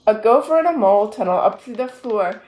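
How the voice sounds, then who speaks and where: A woman reading a children's picture book aloud in an expressive, deliberate storytelling manner. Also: fairly high pitch, roomy sound. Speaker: story-time reader, adult with a young child.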